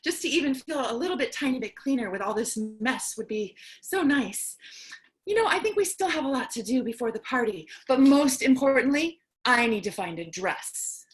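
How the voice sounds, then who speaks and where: A lonely, chatty survivor of the apocalypse, played by a woman in a comic stage play. She is excitable and theatrical, with a high voice that swings widely in pitch. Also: normal speed. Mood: eager, musing. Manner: theatrical, chatty, swings between outbursts and cheer.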